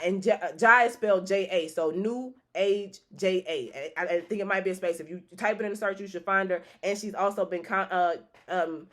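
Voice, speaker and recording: A woman's voice: medium pitch, a bit bright. A woman hosting a live stream and reading tarot cards, chatting in a rambling, loose way with many restarts and casual swearing.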